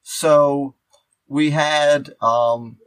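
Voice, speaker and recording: A man co-hosting a podcast with casual banter, halting and often repeating his words. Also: a little high, fairly even intonation, fairly bright tone, dry recording, poor audio. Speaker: podcast co-host.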